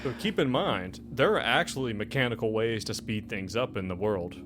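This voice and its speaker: Low-voiced man running a tabletop game. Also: lively intonation, normal speed, a bit bright, slight background noise. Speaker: game master. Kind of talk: casual tabletop game narration. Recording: background music under the voice.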